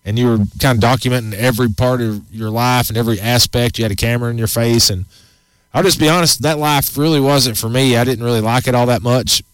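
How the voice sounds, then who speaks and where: A man's voice: mid-range voice, fast, clear, resonant tone, no echo, low-quality recording. A man in his forties hosting a casual outdoors radio show, rambling and folksy with many restarts. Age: 40s.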